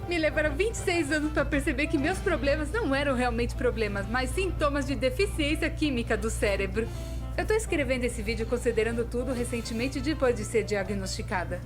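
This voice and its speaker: A woman voicing an earnest, expressive animated narrator in her twenties, with a Brazilian Portuguese accent, in scripted storytime style. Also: sits high, fairly quick. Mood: earnest, hopeful. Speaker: fan dub voice actor. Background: music.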